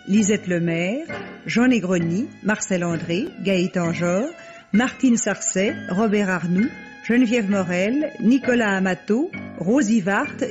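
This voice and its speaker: A woman announcing radio drama credits in 1950s French radio style, formal and deliberate. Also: mid-range voice, a little mellow. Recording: dull vintage radio recording. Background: music.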